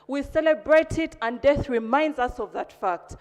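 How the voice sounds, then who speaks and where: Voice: sits high, normal speed, darkish tone. Ugandan parliamentary speaker in an echoing hall. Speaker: member of parliament. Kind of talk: formal tribute speech. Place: large parliamentary chamber. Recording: echoey hall with long reverb.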